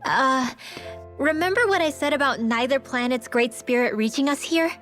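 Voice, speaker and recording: A woman's voice: high voice, lively intonation, moderate pace, a bit bright, faint music behind. A woman voicing an earnest, open-hearted and slightly stubborn teenage girl mage in a video game cutscene. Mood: hesitant, thoughtful.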